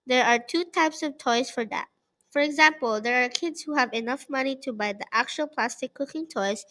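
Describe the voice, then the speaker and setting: A woman's voice: fairly high pitch, a bit bright. A woman narrating a scripted children's story as an author reading her work aloud.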